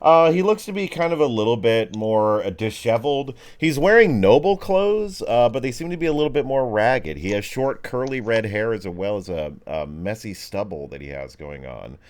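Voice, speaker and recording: A man's voice: on the low side, animated, moderate pace, hardly any pauses. A man narrating a tabletop game as game master in a casual, rambling, improvised way.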